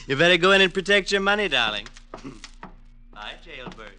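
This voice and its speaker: An actor playing a tipsy, sardonic wealthy wastrel with a mocking drawl in an old-time radio drama. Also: male voice, on the high side, sing-song, unhurried, sharp tone, heavily acted.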